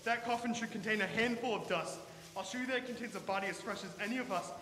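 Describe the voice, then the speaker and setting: A man's voice: sits high, fast, bright, forceful tone. A man playing a desperate, obsessed father hunting a vampire, in urgent, theatrical old-time radio drama style. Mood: urgent, pleading. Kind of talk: old-fashioned radio melodrama acting. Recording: echoey hall with faint noise.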